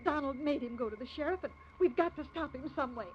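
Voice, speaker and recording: A woman's voice: sits high, quick pace, natural voice. A woman playing a frightened, fretful widowed mother, pleading and overwrought, in 1950s radio melodrama. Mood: panicky, pleading. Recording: dull, hissy vintage radio recording.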